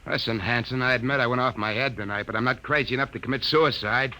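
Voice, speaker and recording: A man's voice: medium pitch, quick pace. An actor playing a hot-headed crewman defending himself in a 1950s radio drama. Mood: defensive. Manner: defensive, forceful. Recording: faintly noisy 1950s radio recording.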